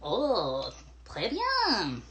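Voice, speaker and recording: A woman's voice: medium pitch, huge pitch swings, extremely slow, a bit bright, heavily acted. A woman voicing a vain, theatrical furry male creature with a French accent in a children's puppet show, preening and drawing out words. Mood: pleased, curious.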